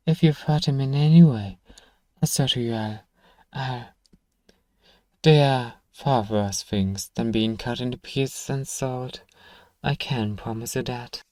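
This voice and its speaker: A man acting as a gruff, sarcastic, reluctant apothecary shopkeeper in a fantasy ASMR roleplay, grumbling dryly straight at the listener. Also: mid-range voice, dark tone, dry recording, lightly acted. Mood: suspicious, threatening. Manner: grumbling, dry sarcasm, sighing, addresses listener directly.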